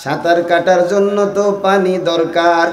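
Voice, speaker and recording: A man voicing a book-learned engineer passenger in a sermon parable, with a Bangladeshi accent and drawn-out address. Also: high voice, steady tone, roomy sound, soft background music, natural voice. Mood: uneasy.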